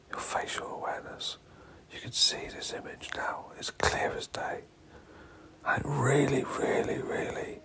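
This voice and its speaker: A man leads a guided meditation in a hushed, breathy whisper. His phrases come in short pieces with pauses between them, circling back on words in a hypnotic way. When his voice surfaces, the pitch is middling and moves around widely. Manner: slow phrases with pauses, repeating words for emphasis.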